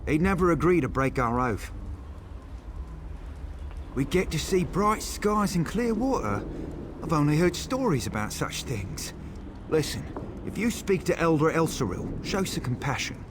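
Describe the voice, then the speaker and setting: A man's voice: on the high side, sing-song, measured pace, background noise. A man voicing a curious, sheltered wood elf warrior in a video game, wry and reflective. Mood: wistful.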